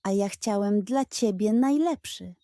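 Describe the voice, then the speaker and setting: A woman voicing a cheeky, greedy male pug dog in a children's story, wheedling, excitable and dramatic. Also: medium pitch, unhurried, no room sound, natural voice.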